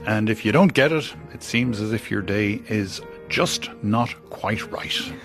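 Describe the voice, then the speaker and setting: A man's voice: fairly low pitch, a little slow, a bit bright, faint music behind. Male radio co-presenter reading listener mail.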